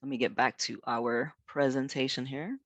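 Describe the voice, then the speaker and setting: A man's voice: mid-range voice, steady tone, normal speed, a bit bright, no echo, highs cut off. Midwestern-accented man leading a chatty workshop. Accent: Midwestern American. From South Africa. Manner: chatty, peppered with "you know" and "right?"; earnest and emphatic. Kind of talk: conversational workshop presentation.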